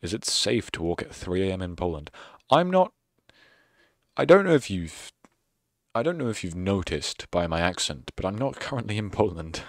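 Young guy streamer, really deep voice, rambly sarcastic jokes, dead dry mic. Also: very animated, normal speed, no echo. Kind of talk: casual end-of-stream chat.

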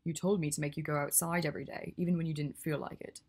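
A woman voicing a reserved young wizard who speaks quietly with dry humor, in a low husky voice. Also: flat delivery, quick pace. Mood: teasing.

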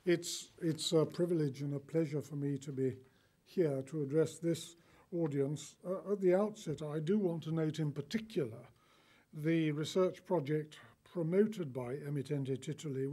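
A man's voice: on the high side, measured pace, dark tone, slightly rough. An older man giving a formal prepared address from a lectern, reading from his notes. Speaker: keynote speaker. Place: conference hall, lectern. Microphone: lectern mic.

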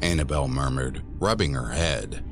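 A man's voice: rumbling low, lively intonation, normal speed, gravelly. A man narrating a scripted horror story as the storyteller of an audio drama. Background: music under the voice.

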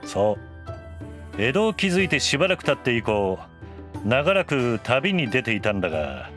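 A middle-aged male shogun, relaxed yet in charge, speaks with wry, casual authority. His pitch moves in a lively way, and anime music plays clearly underneath. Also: moderate pace. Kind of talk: anime voice drama.

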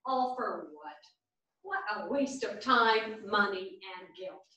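A woman's voice: mid-range voice, expressive, takes it slowly, pushed and bright. Echoey stage actress as a wry, chatty woman. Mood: wry exasperation. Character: chatty woman, a lonely veteran of therapy. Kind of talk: staged play reading. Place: theatre stage, indoors. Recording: echoey theatre stage from far off.

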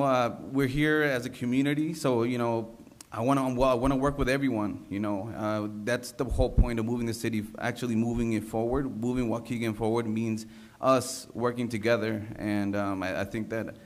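A man in his thirties, a city council member, giving an informal, halting speech of thanks at a public meeting. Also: mid-range voice. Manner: halting, unpolished, repeats himself. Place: large council chamber, indoors. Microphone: gooseneck desk microphone.

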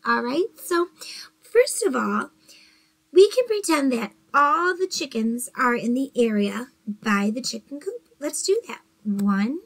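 Woman, forties, fairly high voice, gentle, encouraging, early-grades teacher, home bedroom, webcam. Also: big pitch swings, slow. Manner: gentle, encouraging, asks children questions. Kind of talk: early-grades math lesson to children.

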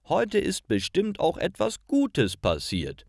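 Man putting on a high, complaining female voice. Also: huge pitch swings, slow pace, creaky, a little mellow, no room sound, clean studio sound, full character voice. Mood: earnest complaint. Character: mother and young daughter complaining about gloomy radio news.

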